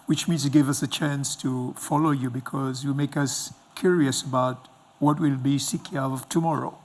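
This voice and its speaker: A middle-aged man hosts an interview in a husky voice of medium pitch. He talks conversationally and reflectively, encouraging the person he is questioning. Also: fairly even intonation, normal speed. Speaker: interviewer, host. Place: indoor stage with dark curtains.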